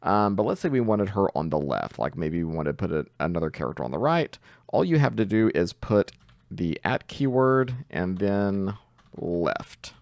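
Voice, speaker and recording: A man giving an informal screen-share software tutorial, thinking aloud hesitantly as he goes. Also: low-pitched, big pitch swings, moderate pace, vocal fry, no room sound, clean studio sound. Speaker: tutorial host, coding instructor.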